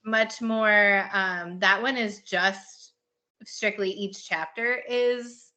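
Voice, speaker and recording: A woman's voice: medium pitch, steady tone, extremely slow, pushed and bright. A woman podcast host chatting casually about her own writing, informal and rambling as she searches for words. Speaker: podcast host and fiction writer. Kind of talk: casual chat.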